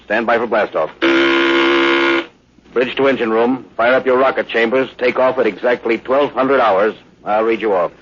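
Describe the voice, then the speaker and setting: A gruff American starship captain played by a man in vintage radio drama style. His voice is mid-pitched and authoritative, with clipped phrasing, on a dull old recording with faint noise. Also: normal speed, close to an everyday voice. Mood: commanding. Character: male spaceship captain, commanding, short-tempered but loyal. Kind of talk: 1950s radio drama acting.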